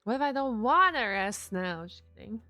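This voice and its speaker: A woman's voice: fairly high pitch, expressive, moderate pace, bright, forceful tone, dry recording, faint music behind. A woman game streamer reacting live in a casual, chatty way and greeting viewers.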